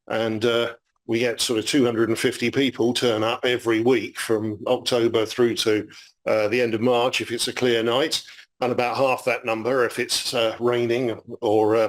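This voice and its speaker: A man's voice: mid-range voice, low-quality recording. A man giving a public astronomy lecture, friendly and explanatory, with frequent fillers as he talks through slides.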